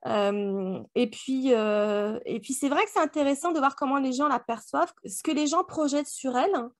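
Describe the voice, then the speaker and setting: Female co-host, higher register, dry close sound, thoughtful conversational delivery with rhetorical questions. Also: moderate pace, dry recording. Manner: reflective, poses rhetorical questions. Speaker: book club podcast co-host. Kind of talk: casual book discussion chat.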